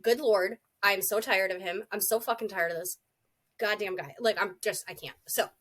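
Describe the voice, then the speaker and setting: A woman's voice: mid-range voice, normal speed, fairly bright tone. Swearing congested woman podcaster. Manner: blunt, swears freely. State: congested from a cold. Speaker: podcast co-host. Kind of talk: casual chat.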